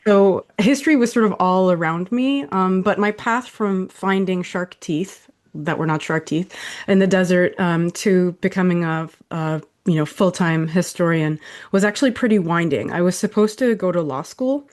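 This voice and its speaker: A woman's voice: lowish voice, moderate pace. A middle-aged woman from Saudi Arabia, a historian, giving thoughtful, chatty answers in an interview over a video call. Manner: thoughtful, warm, with soft laughs and fillers. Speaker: historian guest.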